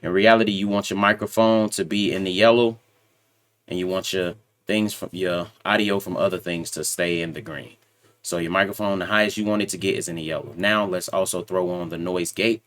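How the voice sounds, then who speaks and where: A man with a very deep voice hosts his channel and talks through a microphone review in a loose, self-assured, rambling way. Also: fairly even intonation, brisk, fairly bright tone. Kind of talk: casual tech review talk. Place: home computer setup with a loud PC fan. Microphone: desktop USB microphone.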